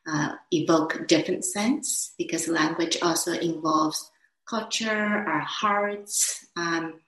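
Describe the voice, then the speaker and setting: A woman whose first language is Chinese, teaching a dharma class in a slow, gentle, hesitant way with many fillers. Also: low-pitched, echoey room, low-quality recording. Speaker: meditation teacher.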